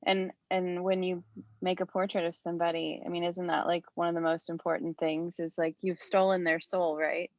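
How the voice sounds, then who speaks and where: A woman's voice: on the low side, level tone, normal speed, darkish tone, no room sound. A woman in the audience of an online talk, asking and commenting conversationally over dull-sounding video-call audio. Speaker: audience member asking a question.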